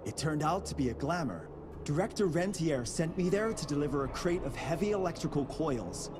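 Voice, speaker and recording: A man's voice: on the high side, animated, a little fast, noisy background, lightly acted. A man playing a nervous young genius engineer in a video game, rambling in flustered technical jargon. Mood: subdued, explaining.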